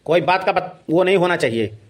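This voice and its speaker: A man plays an officious cartoon village policeman with a high-pitched voice. He questions and lectures people, though in a fairly reasonable way. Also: animated, fairly quick. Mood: mildly indignant. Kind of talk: cartoon comedy dialogue.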